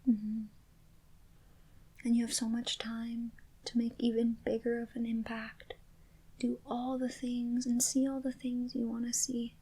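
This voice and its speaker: A woman speaking in a soft, gentle whisper, reassuring a listener in a comforting ASMR roleplay. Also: on the high side, completely flat delivery, measured pace, slightly rough, rough recording.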